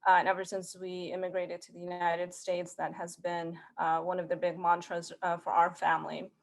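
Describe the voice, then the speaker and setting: A woman who grew up in Mexico and speaks Spanish as her first language gives a conference talk in her thirties. Her delivery stays level and even in pitch, and she keeps restarting her phrases with small fillers as she goes. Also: normal speed, gravelly. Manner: earnest, hesitant, many fillers and restarts. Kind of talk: prepared career talk.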